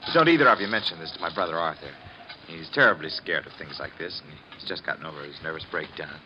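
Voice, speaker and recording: Stubborn radio-drama husband, muffled. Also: male voice, very deep voice. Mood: confiding. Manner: brisk, dismissive, assured. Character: stubborn, skeptical, well-to-do husband. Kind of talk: 1950s radio drama acting. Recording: muffled, noisy vintage radio recording.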